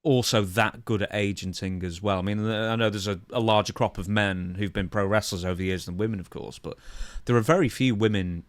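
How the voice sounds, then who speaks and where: A man's voice: lowish voice, steady tone, a little fast, few pauses, no room sound. A man hosting a podcast, presenting news conversationally and putting questions to his co-host.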